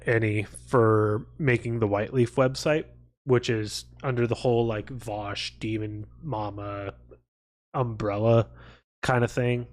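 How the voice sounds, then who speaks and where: Guy streaming, slow talker, rambles and swears a lot, casual chat. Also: mid-range voice, a little mellow. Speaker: livestream host.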